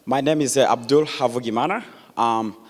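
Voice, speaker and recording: A man, giving an earnest public speech with many pauses and restarts. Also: medium pitch. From Democratic Republic of Congo. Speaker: guest speaker. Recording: echoey hall.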